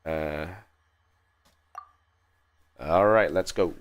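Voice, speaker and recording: A man giving casual, low-key live commentary as he streams a game, often muttering to himself. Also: very slow, clean tone, highs cut off. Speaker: game livestreamer. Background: game music.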